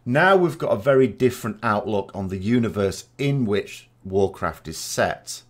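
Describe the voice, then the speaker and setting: A man's voice: on the low side, lively intonation, normal speed. A man hosting a vodcast, giving unscripted opinion commentary in a discursive way, reasoning aloud with rhetorical questions and asides. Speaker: vodcast host, gaming lore commentator.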